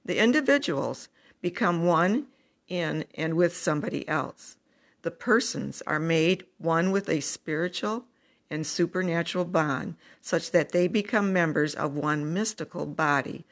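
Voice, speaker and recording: Low, gravelly woman reading church teaching. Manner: measured, deliberate reading with numbered points. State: froggy morning voice. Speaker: religious teacher.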